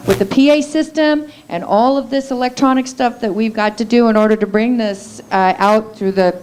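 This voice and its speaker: A woman's voice: on the high side, moderate pace, darkish tone, a little hiss or hum. An American woman co-hosting a live radio event, making casual announcements to the room. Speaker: radio show co-host.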